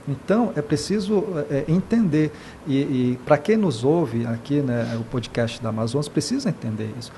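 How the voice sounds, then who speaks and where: Impassioned Brazilian man, halting and earnest. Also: mid-range voice, expressive, warm tone, a little hiss or hum. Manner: impassioned, many hesitations and repeated "né". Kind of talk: earnest interview talk.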